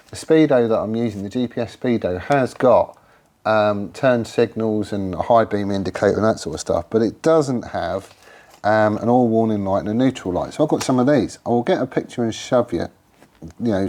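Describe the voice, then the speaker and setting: A man's voice: on the low side, normal speed, warm tone. A man, congested and losing his voice from a cold, chatting casually about a motorbike frame build while hosting his channel. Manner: rambling and informal, with frequent ums and trailing restarts. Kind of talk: casual workshop chat to camera. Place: home garage workshop.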